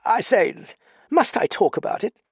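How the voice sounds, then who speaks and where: A man voicing a naive, flustered young gentleman with hesitant, stammering upper-class slang. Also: high-pitched, sing-song, normal speed, vocal fry, husky, no echo, clean studio sound, pitched above the performer's own voice, full character voice. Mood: flustered, startled. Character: plump young English gentleman, naive, flustered, rather foolish.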